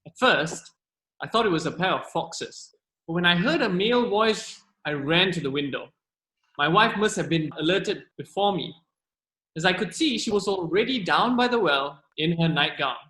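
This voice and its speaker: Man as indignant orchard owner, bright voice, echoey room. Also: on the high side. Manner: indignant, vivid storytelling. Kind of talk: amateur radio drama.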